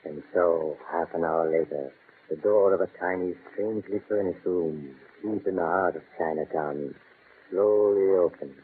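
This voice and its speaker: An actor playing a sinister, mocking male horror host who narrates in a slow, insinuating way, in a 1940s radio drama. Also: deep voice, natural voice. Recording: tinny old radio broadcast, faint hiss.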